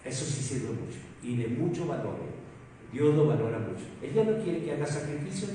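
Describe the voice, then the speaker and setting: A man with a Mexican Spanish accent preaching a sermon as pastor, asking rhetorical questions and swinging between loud emphasis and soft asides. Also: medium pitch, animated, normal speed, a little hiss or hum. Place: church hall. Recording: echoey hall, mic far off.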